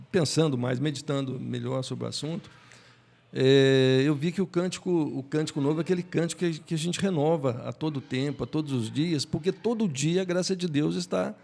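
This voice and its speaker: An older man, discussing faith conversationally and haltingly, often repeating words as he searches for the next. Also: a little high, vocal fry, a little mellow. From Brazil. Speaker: Bible study panelist.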